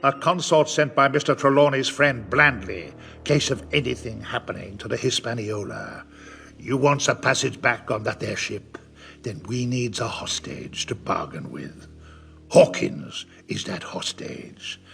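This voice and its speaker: An actor playing a wily, domineering middle-aged pirate captain with a gravelly voice, theatrical and full of rustic sailor talk, in an audio drama. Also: male voice, mid-range voice, expressive, measured pace, a bit bright, slight background noise. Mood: commanding.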